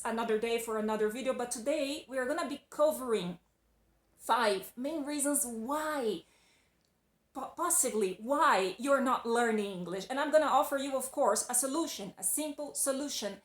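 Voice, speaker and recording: A woman's voice: a little high, moderate pace, roomy sound. A Brazilian woman with a Brazilian accent, speaking English as a second language, giving a chatty, encouraging English lesson. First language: Portuguese. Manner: chatty, encouraging, restarts phrases often. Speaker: English teacher. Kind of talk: casual video lesson.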